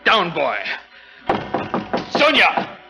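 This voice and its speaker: An actor playing a domineering, easily alarmed aristocrat in a dramatic, exclamatory 1940s radio style. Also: male voice, high voice, very animated, drawn-out words, gravelly, loud music behind the voice, heavily acted, poor audio. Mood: angry, impatient.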